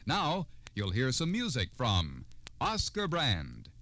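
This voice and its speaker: A man announces in a scripted, old-time radio style. His mid-range voice moves in lively swings of pitch, with music playing behind him on a vintage broadcast. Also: slow pace.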